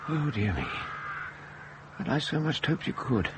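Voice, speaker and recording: Animated, anxious British academic. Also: male voice, fairly high pitch, measured pace. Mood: uneasy. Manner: theatrical, pompous, insistent. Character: sharp, anxious, sardonic academic doctor investigating the uncanny. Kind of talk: radio drama acting. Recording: noisy radio drama recording.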